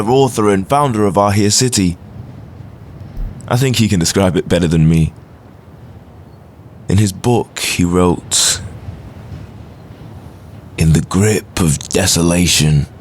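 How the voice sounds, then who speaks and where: A man acting an earnest, thoughtful lecturer and loyal friend in a science fiction audio drama, with a hesitant manner. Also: low voice. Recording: music under the voice. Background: music.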